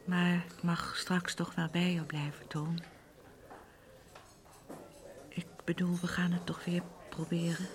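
An actress playing a fragile, needy wife in a radio play, childishly insistent and pleading, with a wandering way of talking. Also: female voice, fairly low pitch, flat delivery, slow pace, pauses often. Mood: wistful, hesitant. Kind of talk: Dutch radio play acting.

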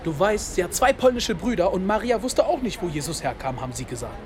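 A man's voice: sits high, expressive, fast, noisy background. A man playing a street-smart narrator in a radio drama, telling a gritty story in rhythmic, staccato phrases. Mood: grave. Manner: rhythmic, staccato spoken-word delivery in short phrases. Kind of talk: scripted radio drama narration in second person. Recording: loud music bed, urban street sounds.

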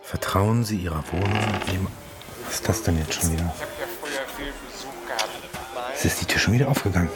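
A man's voice: low voice, moderate pace, pauses often, natural voice. A man playing a puzzled hotel guide in a radio drama, talking to himself. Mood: official, then puzzled. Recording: music behind the voice.

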